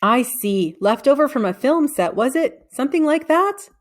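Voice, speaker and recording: A woman's voice: high-pitched, expressive, normal speed, pitched above the performer's own voice. A woman voicing a cheerful, nosy man in a storybook, chatty and full of questions. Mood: bright, curious.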